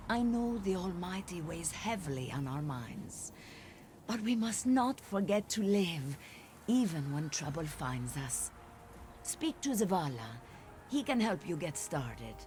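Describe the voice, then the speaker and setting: An actress playing a serene, kindly guide in a sci-fi game, speaking in a gentle, measured, encouraging way. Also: female voice, on the low side. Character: serene, kindly female guide welcoming heroes to a festival. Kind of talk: scripted video game character dialogue.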